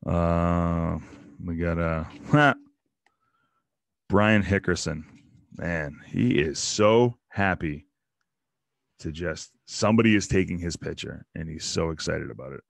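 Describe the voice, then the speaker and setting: A man in his thirties hosting a casual solo show, rambling and joking as he opens card packs in a small home room on a boom mic. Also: slow, gravelly, long pauses, no room sound. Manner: rambling, joking asides about players. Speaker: podcast host opening baseball card packs. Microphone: studio mic on boom arm, headphones.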